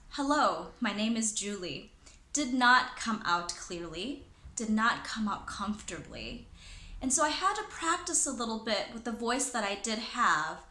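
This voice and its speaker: Woman trainer talking casually, bright voice, lots of ums, echoey room. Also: mid-range voice. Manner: chatty with many fillers and restarts. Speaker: presenter and trainer. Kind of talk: casual talking-head advice.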